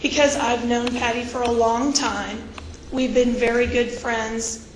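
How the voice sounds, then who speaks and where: A woman's voice: fairly high pitch, level tone. A woman in her fifties, an attorney with a cold, giving firm character testimony at a hearing. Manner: firm, measured answers. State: hoarse from a cold. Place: hearing room. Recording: echoey room with background noise.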